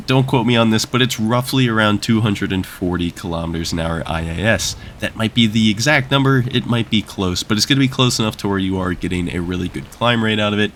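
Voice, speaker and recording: A man's voice: lowish voice, fairly bright tone, a little hiss or hum. A young man with a nasal, congested voice from a cold, giving casual gameplay commentary that explains things and wanders into asides. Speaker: gaming YouTuber.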